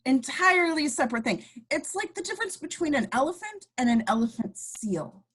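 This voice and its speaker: A woman's voice: sits high, sing-song, a little fast, a bit bright, poor audio. A woman playing a wry, jaded male control-room technician in an amateur table read. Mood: dismissive.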